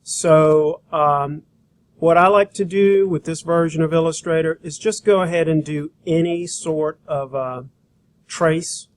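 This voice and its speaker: A man giving an informal, hands-on software demonstration as an instructor, talking in a casual, rambling, folksy way. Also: a little high, fairly even intonation, measured pace. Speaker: graphic design instructor. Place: school computer lab.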